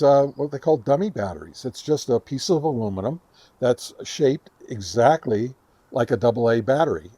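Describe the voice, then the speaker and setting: A man's voice: medium pitch, darkish tone, no room sound. Man giving a chatty gadget demo, dry sound. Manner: chatty, enthusiastic about gadgets. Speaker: host of a radio gear review channel. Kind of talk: casual hands-on product demo and explanation. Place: home radio shack.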